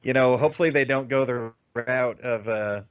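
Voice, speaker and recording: A man's voice: medium pitch, moderate pace, a little hiss or hum. A man with a stuffy nose rambling casually about games on a podcast, full of fillers and restarts. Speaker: podcast co-host. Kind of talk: casual gaming chat.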